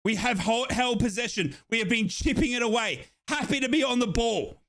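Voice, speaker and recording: A man from Australia streaming a football watchalong, cheering and yelling excitedly, his voice hoarse from shouting. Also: extremely high pitch, moderate pace. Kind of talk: excited fan commentary.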